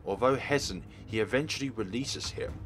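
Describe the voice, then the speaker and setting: A man's voice: mid-range voice, brisk, a bit bright. A man doing a let's play, expressively reading visual novel narration aloud. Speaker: let's player. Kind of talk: dramatic read-aloud of visual novel text. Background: game music.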